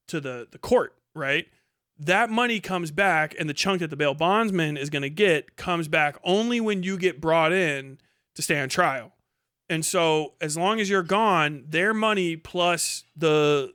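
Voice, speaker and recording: A man's voice: fairly high pitch, pushed and bright, clean tone, no echo, clean studio sound. A man giving casual, rambling explanatory commentary as a streamer, informal and swearing freely. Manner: informal, heavily profane.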